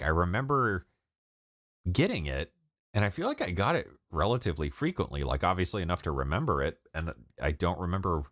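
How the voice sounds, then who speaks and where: A man's voice: deep voice, no echo, studio quality. A man in his late twenties chatting casually with viewers on a live stream, rambling, swearing and laughing a lot. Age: 20s. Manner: rambling, profane, laughs often. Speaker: music producer streaming live. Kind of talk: casual livestream chat with viewers.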